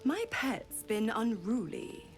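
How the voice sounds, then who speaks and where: Slow, swooping, taunting devil woman. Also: a little high, big pitch swings, over music. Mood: gloating, teasing. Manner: mocking, theatrical, condescending. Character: taunting devil woman, a smug infernal patron. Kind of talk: dramatic video game voice acting.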